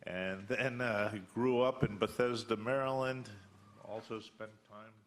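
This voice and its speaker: A man's voice: fairly low pitch, fairly even intonation, unhurried, fairly bright tone. Wry man with dry humor. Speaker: council member. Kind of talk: introducing himself at a meeting.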